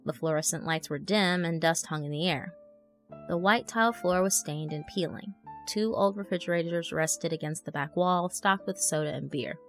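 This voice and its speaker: A woman narrating a horror story as a young female blogger in her twenties, conversational, wry and expressive, telling of being haunted. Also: fairly low pitch, moderate pace, natural voice. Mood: descriptive, wary. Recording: music under the voice. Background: background music.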